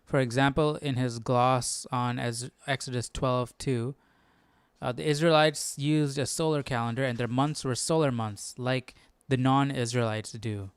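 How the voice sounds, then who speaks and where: Clear, dry-miked man thinking aloud. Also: mid-range voice, fairly even intonation, a little slow, no room sound. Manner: inquisitive, informal, talks to himself. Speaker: Bible study livestream host. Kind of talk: casual livestream study, thinking aloud and reading articles aloud.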